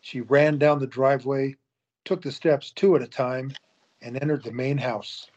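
A man narrates an audio drama in a steady, measured voice of middle pitch. The recording is slightly dull and muffled.

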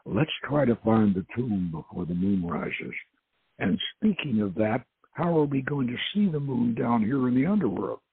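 A wry, blunt adventurer archaeologist from an audio novel, played by a man reading aloud. He speaks firmly in a gravelly, very husky voice. The recording is thin, like a telephone. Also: mid-range voice, expressive, moderate pace, no echo, full character voice. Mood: curious. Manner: wry, firm. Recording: tinny phone-line sound.

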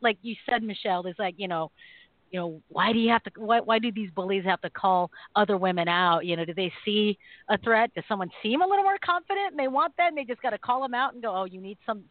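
A woman hosting a call-in talk radio show, chatting casually and animatedly with quick affirmations. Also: mid-range voice, dry recording. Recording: tinny phone-like dry audio.

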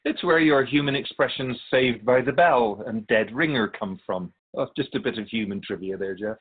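A man playing a patient, all-knowing keeper of souls in a radio drama, explaining things in a measured, gently wry way. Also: medium pitch, narrow, tinny audio, close to an everyday voice. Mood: wise, gently wry. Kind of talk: scripted radio drama dialogue.

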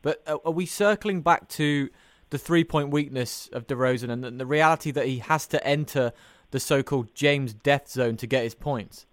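A man's voice: mid-range voice, normal speed, no echo. A man hosting a sports podcast, putting detailed, analytical questions to a guest. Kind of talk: interview questioning mixed with prepared sports commentary.

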